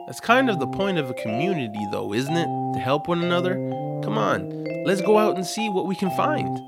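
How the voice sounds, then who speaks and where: An eager young hero of a family audio drama, played by a man. The voice sits fairly high and moves around with a lively lilt, friendly and encouraging. Music plays clearly underneath. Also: fairly quick. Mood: persuasive, bright. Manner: cheerful, coaxing. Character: upbeat, eager young human adventurer, friendly and optimistic.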